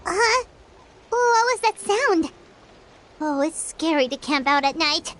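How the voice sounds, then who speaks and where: An actress voicing a tiny, excitable childlike fairy companion who talks about herself in the third person, in a video game. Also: female voice, extremely high pitch, slow, exaggerated voice. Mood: scared. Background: game music.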